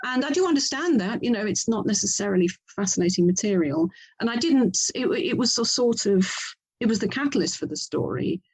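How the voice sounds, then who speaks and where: A woman's voice: medium pitch, animated, brisk, a little mellow, breathy edge, rough recording. A middle-aged English woman talking conversationally as an interviewed author, reflective and often correcting herself as she meanders through her thoughts. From England.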